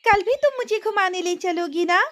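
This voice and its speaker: A woman's voice: very high voice, normal speed, a bit bright, faint music behind, voice pushed up. A woman voicing a stubborn, hurt young princess in a cartoon story, with a childlike, insistent way of complaining. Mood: eager, insistent.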